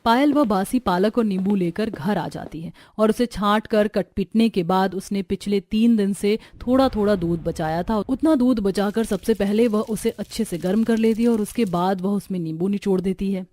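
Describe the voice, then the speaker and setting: A woman's voice: mid-range voice, moderate pace, hardly any pauses, warm tone. A woman giving scripted narration of a simple cartoon family story.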